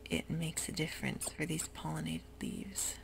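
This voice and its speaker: Slow gravelly whispering woman. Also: low voice, monotone. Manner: soft, slow, hushed, with frequent ums. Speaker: ASMR gaming creator. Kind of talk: whispered ASMR gameplay narration.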